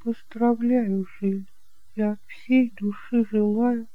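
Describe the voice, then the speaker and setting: A man voicing a shy, sad young woman in an audiobook drama, polite, soft and hesitant. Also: very high voice, fairly even intonation, takes it slowly, no room sound, phone-quality sound, voice pushed up. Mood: tearful, polite.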